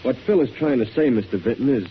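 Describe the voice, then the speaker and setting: A man's voice: mid-range voice, expressive, quick pace, close to an everyday voice. An actor playing a wary working-class henchman with slangy, ungrammatical talk, in an old radio drama. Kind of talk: 1930s radio drama acting. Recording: dull old radio recording, faint noise.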